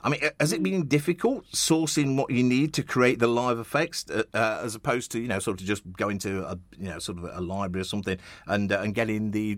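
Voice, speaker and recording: A man's voice: on the low side, no room sound. A man hosting a conversational radio interview in a chatty, rambling, enthusiastic way.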